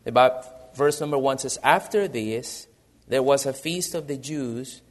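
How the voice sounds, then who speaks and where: A man from El Salvador with a Salvadoran Spanish accent, a missionary pastor speaking in an earnest, measured way in a church service. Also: medium pitch. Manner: earnest, measured, reads from prepared notes. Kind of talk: missionary church presentation and sermon.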